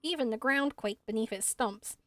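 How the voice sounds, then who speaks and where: A woman's voice: a little high, normal speed, fairly bright tone, no room sound, clean studio sound, pitched below the performer's own voice, natural voice. A woman voicing a timid, dreamy little forest spirit for a video game, hesitant and rambling in a wide-eyed way. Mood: wistful, earnest.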